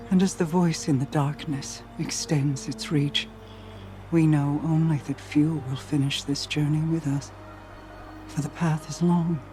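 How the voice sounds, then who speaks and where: An actress playing a grave, compassionate woman sage, speaking in a measured, formal, poetic way for a game cutscene. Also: deep voice, warm tone. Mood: solemn resolve. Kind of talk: dramatic video game cutscene.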